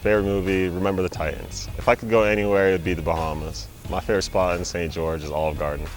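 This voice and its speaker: Very deep young American man over music. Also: fairly even intonation, quick pace, low-quality recording. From Utah and Texas, United States. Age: young adult. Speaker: college basketball player. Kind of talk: casual profile interview.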